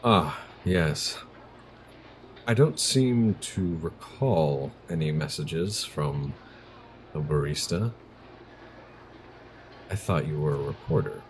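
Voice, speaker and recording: A man acting a formidable, coolly composed corporate scientist in an urban fantasy audio drama, terse, measured and gravelly. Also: animated, a little hiss or hum, full character voice. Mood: cool, imposing. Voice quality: very deep, gravelly.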